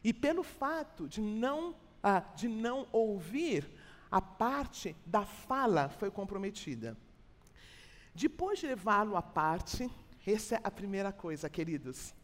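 A woman with a Brazilian Portuguese accent preaching an expository church sermon in a colloquial, teacherly way, repeating phrases for emphasis. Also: mid-range voice. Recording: hall with long reverb.

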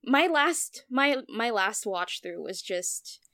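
A woman guest on a podcast in casual chat, with a dry, deadpan delivery that can pass for sarcasm. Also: a little high, takes it slowly, no echo.

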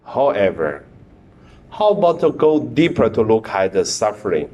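A man, a priest whose first language is Mandarin, preaching a sermon in halting speech with many fillers and repeated words. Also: on the low side, very animated, darkish tone, dull audio.

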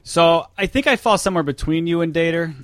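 A man's voice: a little high, a little fast, dry recording. A man with a North American accent chatting casually about sports as a podcast co-host, thinking aloud and hedging his points in a conversational way.

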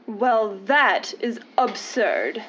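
A woman's voice: a little high, big pitch swings, very slow, a bit bright, full character voice. An actress playing a woman in a melodramatic old-time radio comedy, with a theatrical delivery. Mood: indignant. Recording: dull lo-fi old radio sound.

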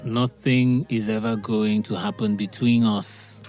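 A man's voice: fairly low pitch, fairly even intonation, a little slow, darkish tone, faint music behind, close to an everyday voice. Modest young Nigerian man, played by an actor. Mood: resigned. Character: modest, self-doubting young Nigerian office worker. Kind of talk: audio drama acting.

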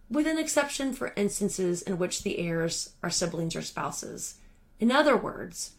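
A woman reading a written article aloud as scripted narration, in a measured, formal manner. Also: medium pitch.

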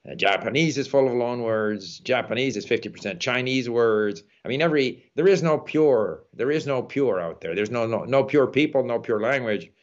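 A man's voice: mid-range voice, normal speed, few pauses. A man interviewing a guest in a casual conversation, talkative and musing, often saying "you know". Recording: dull lo-fi sound.

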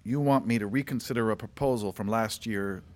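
An actor playing a harried, sarcastic, stammering government funding official in a satirical audio drama. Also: male voice, lowish voice, a little fast, darkish tone, natural voice. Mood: wary, placating.

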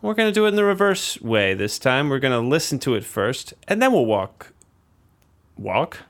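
A man's voice: mid-range voice, big pitch swings, moderate pace, a bit bright. A man hosting a music interview, friendly and jokey, with loose fillers and restarts. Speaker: music producer host. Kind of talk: casual interview chat.

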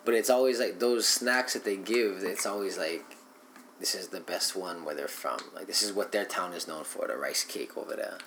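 Laid-back man, very low voice, slangy casual chat, faint hiss. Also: moderate pace, a bit bright. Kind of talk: casual chat among friends.

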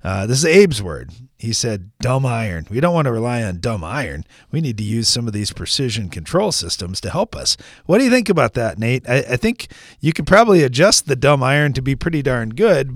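A man's voice: mid-range voice, no room sound, clean studio sound. A man hosting a farm radio interview, asking friendly, joking questions. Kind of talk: casual interview.

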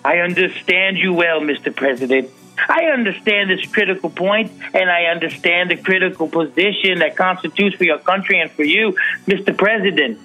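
Man playing a formal Mexican president, high, bright voice. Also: faint music behind, low-quality recording. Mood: courteous, firm. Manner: formal, measured, deliberate. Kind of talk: comedic dramatic reading over music.

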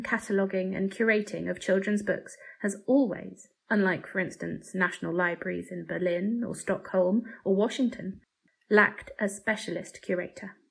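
A woman giving a formal, measured scripted narration of an essay. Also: mid-range voice, creaky, breathy edge. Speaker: article narrator.

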